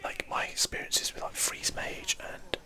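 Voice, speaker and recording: A man speaks in a breathy, unvoiced whisper with a very bright, crisp edge. He comments casually on a game as an ASMR streamer, rambling along without hurry. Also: unhurried.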